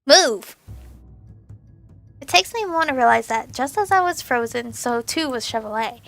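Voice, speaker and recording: A young woman hosting a let's play, reading a visual novel aloud with a hoarse, fading voice and casual asides. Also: a little high, expressive, fairly quick, fairly bright tone, soft background music. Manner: casual asides between earnest narration. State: hoarse, losing her voice.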